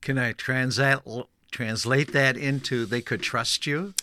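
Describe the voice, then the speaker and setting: A middle-aged man who spoke German first and grew up in Canada, hosting an interview, talking haltingly with many restarts and fillers. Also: medium pitch, fairly even intonation, sharp tone, no echo, studio quality. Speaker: host. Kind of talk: conversational interview.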